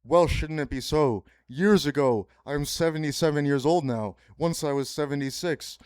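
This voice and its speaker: A man's voice: fairly high pitch, moderate pace, a little mellow, smooth, no room sound. Man rambling grandly, dry close sound. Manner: grandiose, pseudo-philosophical preaching with sudden profanity; repetitive, circling phrasing. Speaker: podcast host. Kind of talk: rambling solo podcast monologue.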